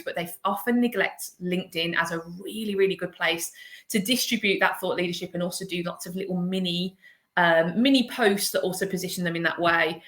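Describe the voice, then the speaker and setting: Birmingham woman host, bright tone, roomy video-call sound, friendly filler-heavy chat. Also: lowish voice. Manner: chatty, laughs often, many fillers. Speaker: host, agency director. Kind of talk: live video interview.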